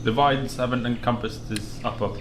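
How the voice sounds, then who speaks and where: A man's voice: medium pitch, fairly even intonation, normal speed. A man bantering casually as a player in a tabletop game. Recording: noisy recording with background ambience.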